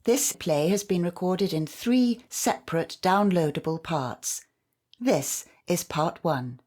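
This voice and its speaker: A woman's voice: fairly low pitch, lively intonation, takes it slowly, darkish tone. A woman announcer reading a scripted introduction to an audio play.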